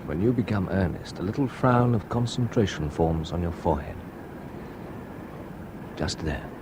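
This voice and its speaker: A charming young man from London woos his guide with gentle, earnest words. His voice sits in a middle register and moves expressively. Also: sits low, lively intonation, normal speed, noisy background. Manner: charming, wooing. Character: romantic young English visitor. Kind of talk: 1960s radio drama acting.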